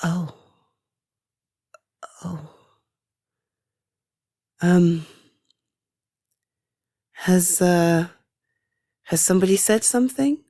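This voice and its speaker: Casting: actress playing caring British woman for intimate ASMR roleplay; low, mellow, halting, reassuring delivery. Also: dry recording, full character voice. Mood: gentle reassurance. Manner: hesitant, soft reassuring, halting pauses. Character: caring, reassuring woman comforting a loved one. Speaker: voice roleplay performer. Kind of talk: ASMR roleplay, one-sided intimate conversation.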